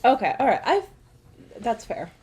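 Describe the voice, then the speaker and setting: A young woman co-hosting a podcast, chatting casually with quick asides and self-corrections. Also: on the high side, very animated, a little mellow, breathy edge. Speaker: podcast co-host. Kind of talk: casual chat.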